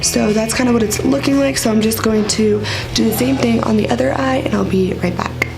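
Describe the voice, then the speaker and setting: Sick, raspy-voiced woman vlogger. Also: a little high, fairly quick, music in the background, poor audio. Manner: rambling, filler-heavy, chatty. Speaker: beauty vlogger. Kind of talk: casual makeup tutorial.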